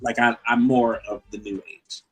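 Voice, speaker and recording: A man's voice: medium pitch, flat delivery, normal speed, faint music behind. A man co-hosting a podcast, chatting frankly and casually. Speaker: co-host. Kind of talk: casual interview chat.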